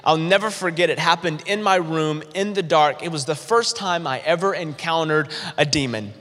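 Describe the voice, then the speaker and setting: A male pastor preaches in an energetic style, his voice pitched high and ringing bright. He stumbles into quick restarts and calls on the crowd to answer. The sound carries a long hall reverb from a large church. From North Carolina, US. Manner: energetic, stammering restarts, calls for audience response. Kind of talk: sermon with humorous storytelling.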